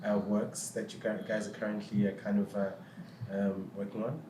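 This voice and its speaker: A man interviewing conversationally, haltingly repeating words as he forms questions. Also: lowish voice, little pitch movement. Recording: echoey room, clear background noise.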